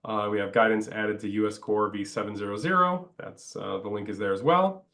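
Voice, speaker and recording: Man, low voice, stuffed-up nose, webinar presenter, halting with lots of uh. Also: fairly bright tone. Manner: halting, many "uh" fillers. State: congested, stuffed up. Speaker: project presenter.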